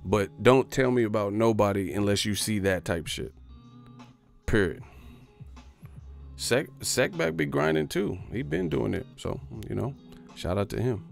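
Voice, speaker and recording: A man's voice: fairly low pitch, lively intonation, unhurried, gravelly, long pauses, faint music behind. A man ranting on a livestream in a boastful, profane, streetwise way. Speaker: boxing YouTuber. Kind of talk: livestream rant.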